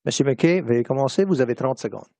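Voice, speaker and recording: A man moderating a debate formally, with brisk procedural announcements. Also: medium pitch, expressive, gravelly, dark tone, no echo.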